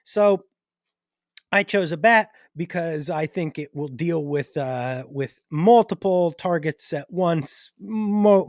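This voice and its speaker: Higher-voiced man chatting on a close, dry mic. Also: darkish tone, no room sound. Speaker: podcast co-host. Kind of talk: casual podcast chat.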